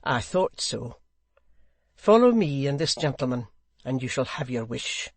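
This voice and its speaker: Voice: mid-range voice, big pitch swings, measured pace, dry recording. A reader voicing a zealous, self-righteous nobleman who speaks in a formal, earnest, declamatory way. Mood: solemn. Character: zealous, self-righteous English lord, solemnly easily offended.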